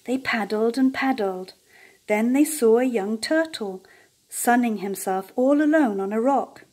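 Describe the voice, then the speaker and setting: An older woman reading a children's story aloud as an expressive storyteller. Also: on the high side, measured pace, low-quality recording.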